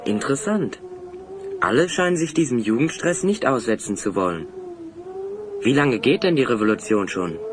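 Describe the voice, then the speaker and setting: An actor playing an inquisitive, ironic adventurer hero in a German audio drama. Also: male voice, mid-range voice, very animated, normal speed, natural voice. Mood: ironic, curious. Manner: ironic, questioning. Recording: slightly noisy cassette audio drama.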